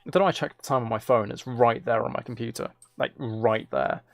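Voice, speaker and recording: A man with a mid-pitched, creaky voice talks casually to viewers of his gaming livestream. He rambles and is self-deprecating as he comments on his play. Faint noise with keyboard clicks sits behind his voice. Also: fairly quick. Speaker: live streamer.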